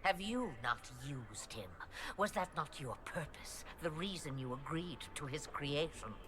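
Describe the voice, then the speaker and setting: A woman playing an ancient, cryptic witch mother in a video game, speaking in a deliberate, theatrical and ominous way. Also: low voice, lively intonation, background noise. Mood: coolly imperious. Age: older. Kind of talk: dramatic video game acting.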